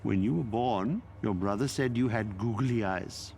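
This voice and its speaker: A man playing a gentle, teasing, devoted middle-aged father in a video game, with a gravelly voice. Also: fairly low pitch, measured pace, a little hiss or hum. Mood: tender.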